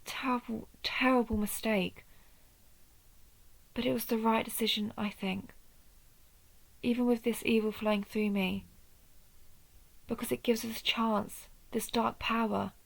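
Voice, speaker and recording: A woman playing a guilt-ridden vampire hunter in an intimate audio roleplay, with a halting, brooding delivery. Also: a little high, steady tone, creaky, poor audio. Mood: troubled, darkened. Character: guilt-ridden female vampire hunter, wounded, turning dark. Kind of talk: dramatic audio roleplay spoken to one listener.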